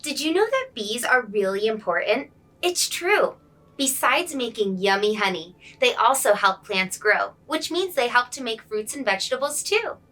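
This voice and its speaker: A woman who teaches kindergarten, reading a children's picture book aloud in an expressive, explanatory way. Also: a little high, moderate pace. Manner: clear explaining to young children, animated emphasis.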